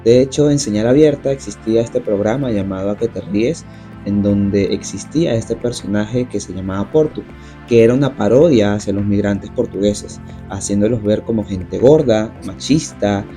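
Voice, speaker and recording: A man giving measured, scripted documentary narration. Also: lowish voice, mellow, soft background music.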